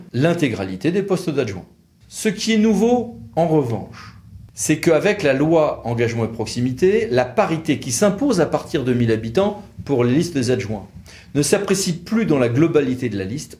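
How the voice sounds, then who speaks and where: A man with a French accent from France speaks at an average male pitch. He delivers an educational explainer like a lawyer teaching an audience on video, conversational yet didactic, stressing his key words. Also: a little mellow. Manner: didactic, conversational, emphatic, acting out examples. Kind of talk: educational legal explainer to camera.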